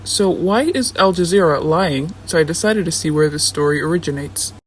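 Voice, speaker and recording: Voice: medium pitch, expressive, moderate pace, a little hiss or hum, low-quality recording. A narrator, a native Somali speaker, reads a scripted debunking in an indignant tone. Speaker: video essay narrator.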